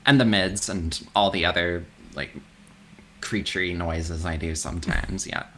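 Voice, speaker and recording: A man with a deep voice, chatting loosely as a podcast guest, swearing casually and laughing easily. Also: fairly even intonation, unhurried, fairly bright tone, a little hiss or hum, low-quality recording. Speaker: guest, extreme metal vocalist. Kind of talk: casual interview chat.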